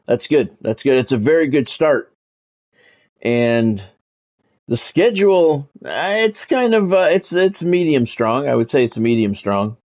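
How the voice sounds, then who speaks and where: A man's voice: mid-range voice, darkish tone. A man giving casual, rambling, conversational commentary as he hosts a football video game stream. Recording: dull lo-fi audio with music behind.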